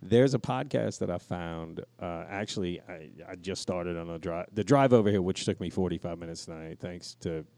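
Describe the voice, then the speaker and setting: Deep-voiced man, crude casual podcast talk. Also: moderate pace, creaky, hardly any pauses, a little mellow, dry recording. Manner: crude, profane, joking. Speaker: podcast co-host. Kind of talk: casual chat.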